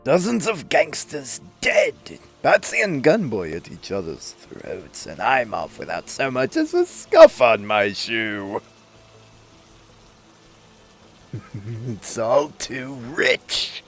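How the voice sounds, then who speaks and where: A man's voice: mid-range voice, wildly swooping pitch, slow, gravelly, fairly bright tone, faint music behind, heavily acted. A man playing a manic, gleeful clown supervillain in a comic book dub, theatrical and mocking. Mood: gloating. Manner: theatrical, mocking, swooping with laughter.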